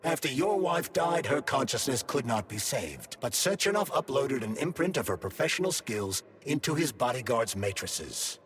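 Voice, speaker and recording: A man's voice: mid-range voice, very animated, a bit bright, big-room sound. A man playing a sentient glove that holds a dead scientist's mind, formal and coolly vengeful, in a video game cutscene. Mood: grave revelation. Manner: articulate, formal, measured explaining.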